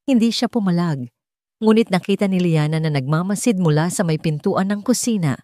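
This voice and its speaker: Lowish-voiced woman narrating drama. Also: a little mellow, no room sound, clean studio sound. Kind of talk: scripted dramatic story narration.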